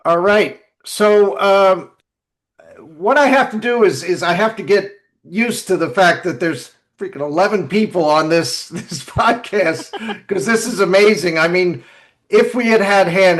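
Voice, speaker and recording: Male podcast host: upper-mid pitch, casual delivery, enthusiastic and appreciative. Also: normal speed, fairly bright tone. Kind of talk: casual podcast hosting, introducing guests.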